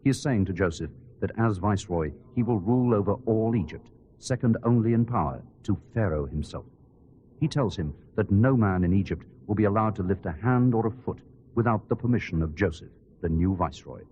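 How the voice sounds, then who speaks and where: A man playing a radio reporter who gives solemn, measured, descriptive live commentary on a royal ceremony. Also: lowish voice, animated, mellow, muffled recording. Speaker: outside-broadcast commentator. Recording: clear background noise and ambience.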